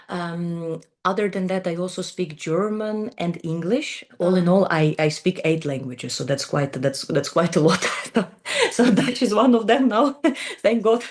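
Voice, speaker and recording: A woman's voice: on the low side, normal speed, darkish tone. A Ukrainian woman in her twenties whose first language is Russian, speaking fluent but non-native English as an interview guest, with frequent ums and repeated words. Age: 20s. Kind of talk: casual interview talk.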